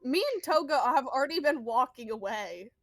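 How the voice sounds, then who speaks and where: Woman, high pitch, dry recording, casual game chat, player. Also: expressive, normal speed, fairly bright tone, dry recording. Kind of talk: casual tabletop roleplay chat.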